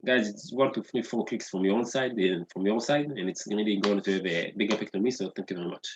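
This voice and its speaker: A man in his thirties whose first language is Hebrew, hosting his own video podcast with excitable, repetitive talk that keeps calling out to "guys". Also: fairly low pitch, quick pace, slight background noise, rough recording. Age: 30s. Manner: excitable, repeats words and says "guys" often. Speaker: podcast host. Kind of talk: enthusiastic solo video podcast intro.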